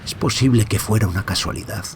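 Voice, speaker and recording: A man playing an anxious married father who narrates a mystery audio drama in a brooding, hushed way, often dropping toward a whisper. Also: fairly low pitch, quick pace, vocal fry, slight background noise. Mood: uneasy, brooding. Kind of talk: audio fiction first-person dramatic narration.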